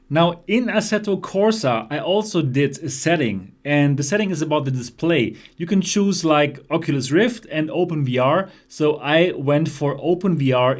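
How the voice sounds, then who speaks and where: A man's voice: a little high, a little mellow. A man from Germany with a German accent, chatting through a gameplay test as a VR reviewer. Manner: chatty, explanatory, enthusiastic about cars. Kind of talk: casual gameplay commentary.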